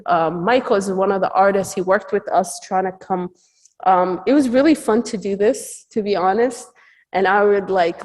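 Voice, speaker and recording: A woman's voice: medium pitch, darkish tone. A woman casually presenting slides at a chapel service, rambling with frequent fillers and restarts. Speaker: campus ministry speaker.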